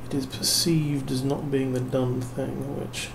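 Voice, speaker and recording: A tired man talks in a loose, self-mocking ramble full of fillers. His voice sits at an ordinary pitch with a gravelly fry. Clear noise hangs behind him in a home recording made at night. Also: little pitch movement, a little slow. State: tired, unable to sleep. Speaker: vlogger. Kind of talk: casual late-night rambling while building a kit.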